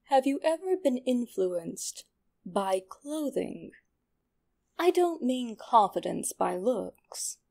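Slow, creaky-voiced woman narrating horror. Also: fairly high pitch, big pitch swings, darkish tone. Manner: sinister, deliberate.

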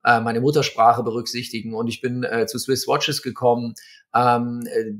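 A man in his fifties, a watch magazine editor and guest, telling stories in a relaxed interview with many fillers. He speaks over a video call from a room at home. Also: mid-range voice, normal speed. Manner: hesitant, many fillers, storytelling. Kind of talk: conversational interview. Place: home room with bookshelves and string lights.

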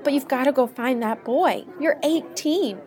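A woman's voice: high voice, measured pace, a little mellow. A woman playing a naive, devoted young sweetheart with earnest melodrama in a satirical Christmas radio play. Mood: earnest, helpless.

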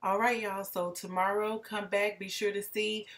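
A woman's voice: medium pitch, flat delivery, normal speed, a bit bright. A middle-aged woman hosting her own cooking vlog, talking in a chatty, wry and candid way as she narrates the steps. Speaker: vlog host. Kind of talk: casual cooking vlog voice-over.

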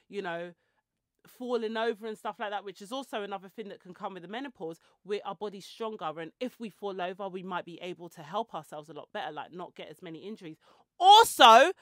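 A woman's voice: medium pitch, a bit bright, dry recording, clean studio sound. Chatty woman in her forties. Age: 40s. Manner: chatty and rambling, with tangents and self-mocking asides. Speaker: podcast host. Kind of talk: casual chatty monologue. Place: small indoor room with shelves and clothes rails. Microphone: handheld mic held close.

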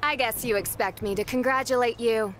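A woman's voice: fairly high pitch, brisk, a little hiss or hum, lightly acted. A woman voicing a cold, dismissive rival wrestler in a video game. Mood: snide.

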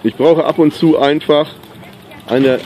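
A man's voice: fairly high pitch, unhurried, darkish tone. A middle-aged man vlogging outdoors at a sunny marina, chatting casually and enthusiastically to the camera. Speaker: pop artist vlogging. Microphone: handheld camera mic. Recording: faint outdoor noise.

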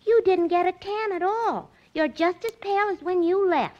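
A woman's voice: very high-pitched, moderate pace. A woman playing an eager, ditzy young secretary in 1940s radio drama. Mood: eager, naive. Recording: muffled old radio recording.

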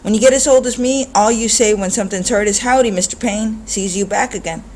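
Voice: mid-range voice, fairly quick, a little mellow, a little hiss or hum, voice pushed up, lightly acted. A reader voicing a weathered, street-wise old man with a folksy, easygoing dialect. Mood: reflective. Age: older.

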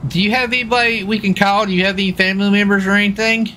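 A man role-playing a police officer in a casual, improvised game, talking in a folksy, excitable way and often repeating himself. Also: sits high, rapid-fire. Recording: faint background noise, game sirens. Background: game sirens and vehicle sounds.

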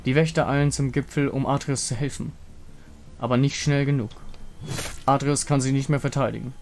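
A man's voice: mid-range voice, fairly even intonation, a little fast, darkish tone. A man giving a dramatic scripted narration of a fantasy game story. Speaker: narrator and reader of game dialogue. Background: music.

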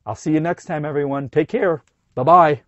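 A middle-aged man with a hoarse voice from a cold, reading a scripted camper van review inside a van. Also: a little high, moderate pace, dry recording, lo-fi sound. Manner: steady, informative, teleprompter reading.

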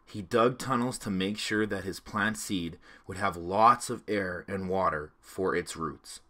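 Bright-voiced man reading a children's story. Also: low voice, a little slow. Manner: clear, expressive reading for children. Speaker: narrator. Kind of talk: storybook read-along.

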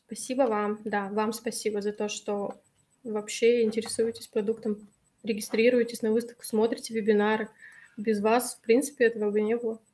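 Woman, thirties, slightly hoarse, fairly high voice, friendly webinar host, video call webcam. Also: level tone, brisk, a little mellow. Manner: friendly, reads and answers chat comments. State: hoarse from allergy. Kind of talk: live webinar chat with viewers.

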